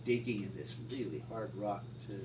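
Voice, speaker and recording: A middle-aged man reminiscing informally as an interviewee, in a halting, folksy way. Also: lowish voice. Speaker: paleontologist interviewee. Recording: distant mic, echoey room, loud noise.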